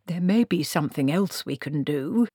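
A woman's voice: low-pitched, lively intonation, normal speed, warm tone, husky, dry recording, studio quality. Woman putting on a grumbling, miserable male wizard. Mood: weary, polite. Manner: fussy, protesting, formally polite.

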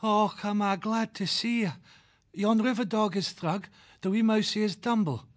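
A man's voice: sits high, steady tone, moderate pace, voice pushed up, lightly acted. Rokangus, a man's brash young Scottish falcon. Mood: relieved. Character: bold young male falcon, chief's son.

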